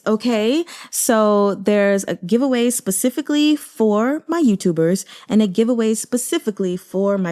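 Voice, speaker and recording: A woman's voice: fairly high pitch, dark tone. A woman chatting casually as the host of a reading channel, conversational and emphatic, speaking her opinions plainly. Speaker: audiobook reader and channel host. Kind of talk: casual reaction chat and announcements.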